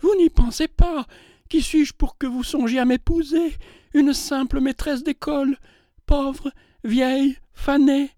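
Guy doing a shy old maid schoolteacher, high breathy pleading voice, dry. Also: expressive, normal speed, dark tone, no room sound. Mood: flustered. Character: timid aging spinster schoolteacher woman.

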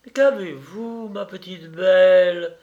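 A man's voice: high voice, slow, phone-quality sound, voice pushed up. A man voicing a kindly, meek wife in a storybook reading, tender and solicitous. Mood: tender concern. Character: kindly, meek lawyer's wife, gentle woman.

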